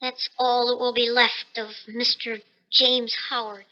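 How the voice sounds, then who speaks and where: A man's voice: very high-pitched, little pitch movement, measured pace, pushed and bright, lo-fi sound, full character voice. An actor playing a bitter, desperate shrunken research scientist in his thirties, theatrical and self-pitying in a 1950s radio drama, his voice artificially raised. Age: 30s.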